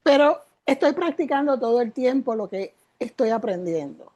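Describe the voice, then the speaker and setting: A woman's voice: fairly high pitch, lively intonation, moderate pace, dark tone, no room sound, lo-fi sound. An older woman, a guest teacher, telling her story in an interview with emotion, repeating words for emphasis. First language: Spanish.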